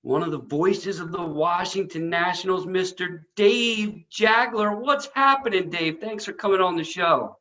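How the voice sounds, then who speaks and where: A man's voice: high voice, measured pace, hardly any pauses, sharp tone, lo-fi sound. A man hosting a show, interviewing a guest in casual, upbeat chat. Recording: very echoey room, faint music.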